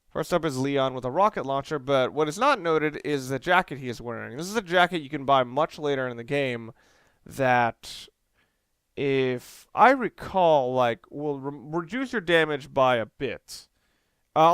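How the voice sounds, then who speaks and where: A man's voice: medium pitch, a bit bright, clean tone, no echo, clean studio sound. A man giving casual, hesitant video game commentary with wry, self-deprecating asides. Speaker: video game let's player. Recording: game music and gunfire behind. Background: game music, gunfire.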